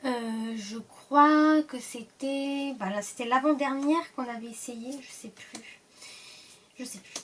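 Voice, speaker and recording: A woman's voice: high voice, takes it slowly, far from the mic, a little hiss or hum. A woman with a cold, casually opening card packs and reading the card names aloud, often hesitating and trailing off. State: stuffy from a cold. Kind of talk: casual pack-opening commentary.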